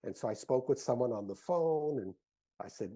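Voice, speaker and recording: An American man speaking as an interview guest, a professor recounting things in a halting, casual way. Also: mid-range voice, lively intonation, dark tone, slightly rough. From United States.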